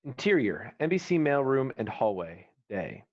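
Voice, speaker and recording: Male narrator, medium pitch, scripted stage directions, dull online-call audio. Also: sing-song, moderate pace.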